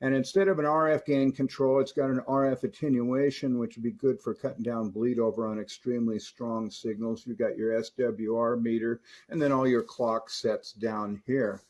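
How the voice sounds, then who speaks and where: A man's voice: mid-range voice, level tone, moderate pace, few pauses, darkish tone. A middle-aged man in a casual, chatty hands-on review, folksy and enthusiastic about old equipment. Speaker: radio repair reviewer.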